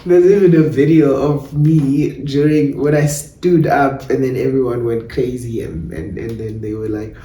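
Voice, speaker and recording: A South African man talking reflectively in an interview, hesitant and animated, often repeating himself. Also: medium pitch, a little slow, few pauses. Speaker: playwright and director being interviewed. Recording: roomy, distant mic with faint noise.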